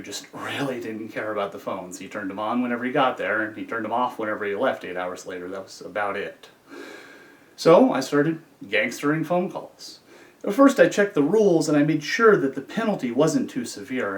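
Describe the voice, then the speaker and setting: A man's voice: fairly low pitch, recorded from a distance. A man telling a personal story in a conversational monologue, as a former prisoner looking back. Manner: conversational, acts out dialogue.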